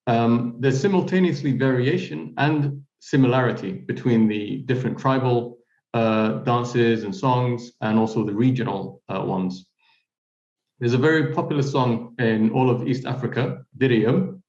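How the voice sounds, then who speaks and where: A man from Somalia hosting an interview over a video call, speaking in a measured, courteous way with frequent pauses. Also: medium pitch, darkish tone. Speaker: host. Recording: roomy, dull lo-fi audio.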